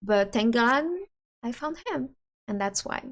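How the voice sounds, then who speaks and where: A woman's voice: fairly high pitch, measured pace. Woman chatting with husband. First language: Portuguese. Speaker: channel host. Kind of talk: casual couple Q&A chat.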